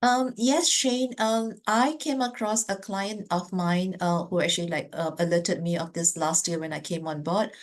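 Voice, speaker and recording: A woman's voice: on the low side, fairly even intonation, normal speed, hardly any pauses. A woman with a Malaysian accent answering business owners' questions in a webinar, earnest, with many fillers and restarts, on a video call mic. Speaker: business advisor.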